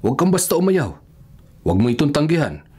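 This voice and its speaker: An actor playing an insistent middle-aged father with a husky voice, persuading his son in a radio drama. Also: a little high, very animated, quick pace, darkish tone. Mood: insistent, persuasive. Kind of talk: Filipino radio drama acting.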